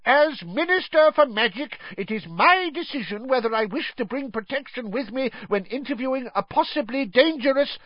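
A pompous middle-aged official who blusters and roars, as a male narrator puts him on. His voice is pitched high and swings widely. The recording is dull and dry, from a storybook reading. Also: expressive, fairly quick, few pauses, dry recording. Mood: blustering. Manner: blustering, loud.

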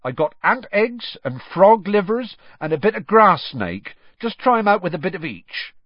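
A man voicing a big, gruff, enthusiastic creature keeper and teacher with rough, folksy speech in an audiobook. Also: medium pitch, sing-song, unhurried, no room sound, muffled recording, voice pushed up. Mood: rough, instructing. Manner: rough, folksy, dropped words.

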